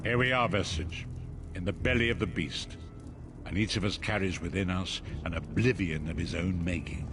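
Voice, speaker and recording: An actor playing a grave, resolute ally in a fantasy video game, speaking in formal, measured phrases. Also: male voice, deep voice, background noise, lightly acted. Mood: somber. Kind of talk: dramatic video game dialogue. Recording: loud music behind voice. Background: game music.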